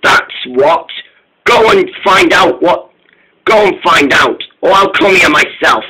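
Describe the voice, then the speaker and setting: Man doing a grumpy male diesel engine, gruff, slow, breathy. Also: high voice, expressive, bright, forceful tone, exaggerated voice, low-quality recording.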